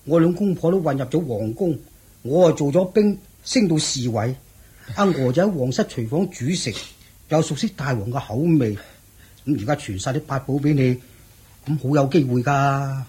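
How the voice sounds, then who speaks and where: A man's voice: medium pitch, expressive, moderate pace, dark tone, breathy edge. A man with a Hong Kong Cantonese accent playing a loyal, cautious retainer disguised as a guard in a radio drama, earnest and urgent.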